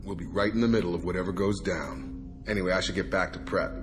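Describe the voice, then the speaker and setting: A man's voice: sits low, fast, music in the background, natural voice. An actor playing a blunt, guarded male soldier in a video game, plain-spoken and loyal. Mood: guarded, frank.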